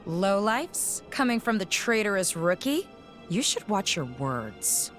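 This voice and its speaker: A woman's voice: medium pitch, big pitch swings, slow pace, a bit bright. A woman playing a cold, contemptuous, menacing villainess in a game cutscene, with a bored, disdainful drawl. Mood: scornful. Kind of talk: video game cutscene acting. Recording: music behind the voice.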